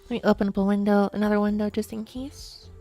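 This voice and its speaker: A woman streaming a late-night chat, talking softly and quietly while sleepy, with many fillers and restarts. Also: medium pitch, level tone, quick pace, soft background music. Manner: soft, quiet, drowsy talk with fillers.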